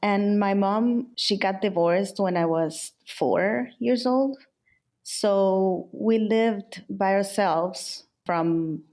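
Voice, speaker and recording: A middle-aged woman from El Salvador, a designer, answering interview questions in a candid, unhurried way. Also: mid-range voice, a little mellow. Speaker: guest, furniture and interior designer.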